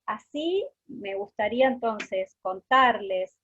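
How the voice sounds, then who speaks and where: A woman with an Argentine accent giving an informal academic lecture, pausing often, over dull online call audio. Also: fairly high pitch, lively intonation, a bit bright, slight background noise. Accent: Argentine Spanish. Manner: deliberately slowed, with pauses. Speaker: guest lecturer, academic researcher.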